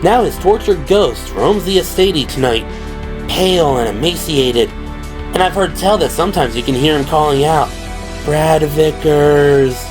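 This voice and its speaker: A man voicing a teasing male cop in an audio drama, theatrical and drawn-out as he plays at being spooky. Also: on the high side, music in the background, voice pushed up, natural voice. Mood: mock spooky. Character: teasing young male cop telling mock spooky ghost tale. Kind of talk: audio drama character acting.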